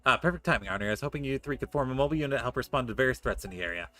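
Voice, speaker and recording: A man's voice: mid-range voice, expressive, very fast, bright, forceful tone, soft background music. A man streaming a video game, casually commenting and reading dialogue aloud.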